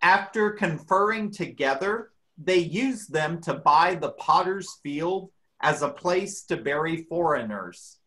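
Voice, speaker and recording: This is a church reader delivering a dramatic scripture reading. The pace is slow and measured, with a lively, wide-moving intonation. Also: medium pitch, low-quality recording.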